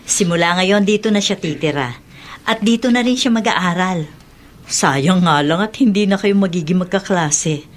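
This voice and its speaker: An actress playing a warm, motherly middle-aged aunt in a Filipino radio drama, chatty and affectionate. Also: fairly low pitch, moderate pace, slight background noise, natural voice. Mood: welcoming.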